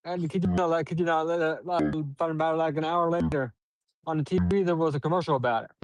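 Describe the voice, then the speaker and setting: A woman's voice: sits low, steady tone, quick pace, darkish tone, dry recording, soft background music, poor audio. A woman co-hosting a casual talk show, telling stories and acting out her own reactions. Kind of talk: casual chat.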